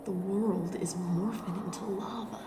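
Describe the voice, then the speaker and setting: A woman performing an intimate radio play monologue as a dreamy, obsessively lovestruck woman, breathy and drifting into whispers. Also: mid-range voice, steady tone, a little slow, background noise, lightly acted. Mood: hushed, entranced. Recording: music under the voice. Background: music.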